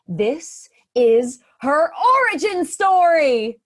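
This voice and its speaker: A woman's voice: sits high, expressive, slow pace. A woman playing a vain, sarcastic narrator who boasts and makes snarky asides in a community stage play. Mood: theatrical.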